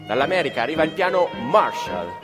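Animated male storyteller in a reverberant hall. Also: a little high, fairly quick. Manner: declamatory, with rhetorical questions and repetitions. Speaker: actor-storyteller. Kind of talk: dramatic theatrical narration for radio theatre. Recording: reverberant hall, live music behind. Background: live violin and plucked strings.

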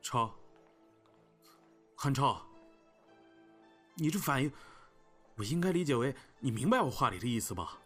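Man acting devoted elder brother, frank, medium-pitched, lively intonation, plucked guitar underneath, audio drama. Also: unhurried, pauses often, husky, exaggerated voice. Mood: earnest. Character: devoted, direct young elder brother living abroad. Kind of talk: Chinese audio drama dialogue. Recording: soft guitar music behind the voice.

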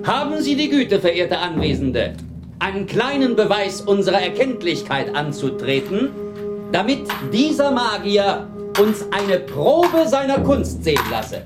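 An actor playing a polite, ceremonious go-between in a radio play. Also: male voice, sits high, sing-song, unhurried. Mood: courteous. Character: polite male go-between soliciting money, smooth and ceremonious. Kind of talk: German radio play acting. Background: loud music.

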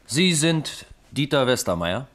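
An actor playing a calm, formal police lieutenant who questions persistently in a German radio crime drama. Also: male voice, medium pitch. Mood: probing, insistent. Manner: measured, probing, formal questioning.

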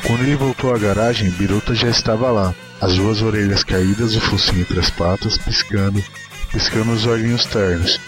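A man's voice: lowish voice, fairly even intonation, moderate pace. A Brazilian man giving a dramatic reading of a short story as its narrator. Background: music.

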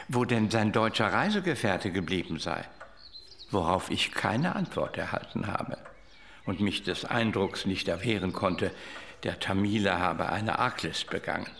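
A man playing an obliging, officious hotelier in a radio drama, with a creaky voice and formal, long-winded manners. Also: fairly low pitch, a bit bright, slight background noise.

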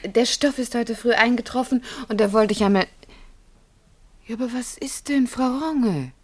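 A woman's voice: on the high side, moderate pace, close to an everyday voice. Actress as a refined, reassuring village lady. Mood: solicitous. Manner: solicitous, emphatic, refined. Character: educated doctor lady, reassuring, brisk, kindly superior. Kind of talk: German radio play acting.

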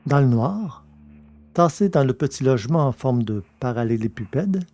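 A man narrating a science-fiction story from a script, in a measured, literary reading manner. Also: mid-range voice, a little mellow.

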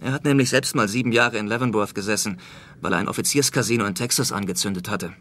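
An actor playing a matter-of-fact, mildly skeptical police sergeant in a German radio drama, explaining things wryly. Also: male voice, lowish voice, fast talker, a bit bright, a little hiss or hum, natural voice. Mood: wry, reporting.

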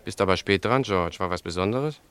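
Wry, low-voiced snack bar owner. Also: male voice, brisk, fairly bright tone, clean tone. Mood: wry, businesslike. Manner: wry, matter-of-fact. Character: dry-humoured male snack bar owner. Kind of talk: German radio drama acting.

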